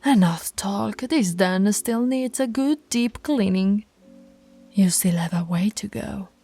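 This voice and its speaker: A woman's voice: mid-range voice, slow pace, mellow, breathy edge, soft background music. A woman voicing a dominant female werewolf pack alpha in an audio roleplay, teasing and commanding, often dropping to a whisper. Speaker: voice actress.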